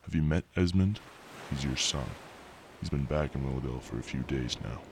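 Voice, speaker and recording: A man's voice: a bass voice, brisk. A man playing a cold, imperious evil scientist villain in an audio drama, speaking with menace. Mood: mocking. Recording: recording with faint background noise.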